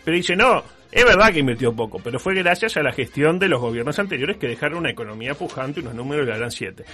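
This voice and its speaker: A man's voice: fairly high pitch, expressive, quick pace, sharp tone, slightly rough. A man with a Uruguayan accent hosting humorous news commentary in an ironic, rambling, casual way. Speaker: radio show host.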